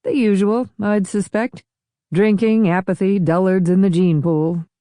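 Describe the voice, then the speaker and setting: A woman's voice: mid-range voice, steady tone, measured pace, warm tone, dry recording, studio quality, voice pushed up, lightly acted. Woman voicing a flippant rich weekend sailor. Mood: offhand. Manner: flippant, offhand. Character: wealthy, dismissive male weekend host out sailing.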